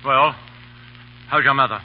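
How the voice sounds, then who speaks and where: An actor playing a gruff, stern older army colonel with a brusque, formal manner in a 1930s radio drama. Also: male voice, mid-range voice, unhurried, natural voice. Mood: brusque, awkward. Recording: noisy, muffled 1930s radio recording.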